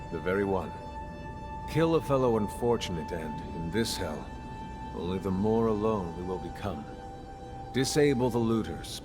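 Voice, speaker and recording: An actor playing a solemn, protective, weary master in a video game, speaking formally and measuredly. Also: male voice, low voice. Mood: solemn instruction. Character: grave male master technomancer, protective, weary mentor.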